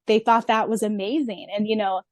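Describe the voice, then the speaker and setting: A woman's voice: medium pitch, fairly even intonation, fairly quick, dry recording. Chatty, giggly woman in her thirties, dry sound. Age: 30s. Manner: chatty, giggly, acts out quotes. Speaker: podcast co-host and audiobook reader. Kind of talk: casual fan podcast chat.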